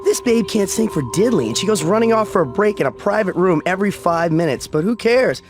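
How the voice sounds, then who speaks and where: Leering party staffer: highish, bouncy intonation, casual slang, music in background. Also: male voice, lively intonation, brisk. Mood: chatty, leering. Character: chatty, leering, gossipy male party staffer. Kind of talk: video game cutscene acting.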